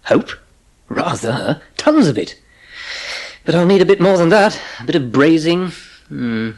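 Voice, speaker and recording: A man's voice: fairly high pitch, big pitch swings, a little slow, darkish tone, full character voice. An actor playing a kindly, jovial father with a hearty, old-fashioned manner in an audio drama. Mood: cheerful, reassuring. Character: kindly, jovial middle-class English father.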